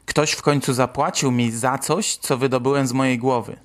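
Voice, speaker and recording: A man's voice: mid-range voice, steady tone, moderate pace. A man hosting and reading scripted narration. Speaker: host.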